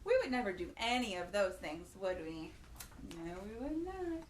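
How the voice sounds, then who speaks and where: A woman hosting storytime for young children, reading a picture book aloud in an expressive, gasping manner and putting questions to her little listeners. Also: medium pitch, moderate pace. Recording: echoey room with faint background noise.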